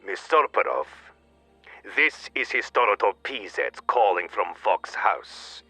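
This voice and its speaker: An actor playing a stern, pompous studio boss in an audio drama, speaking formally and with intimidation. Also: male voice, fairly low pitch, expressive, unhurried, pushed and bright, soft background music, heavily acted. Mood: cold, reprimanding. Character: stern, officious, intimidating male studio boss of propaganda broadcaster.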